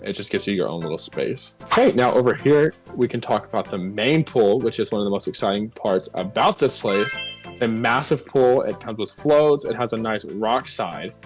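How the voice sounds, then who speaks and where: A man casually giving a joking tour of a game build, relaxed, with fillers. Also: fairly low pitch, moderate pace, faint music behind, low-quality recording. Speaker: co-host touring a game build.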